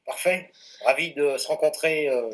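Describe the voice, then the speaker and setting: A man in his late forties from France's Anjou region, a mountaineer answering interview questions in a relaxed, hesitant way with many fillers. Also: a little high, moderate pace. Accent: French. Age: 40s. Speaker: mountaineer guest.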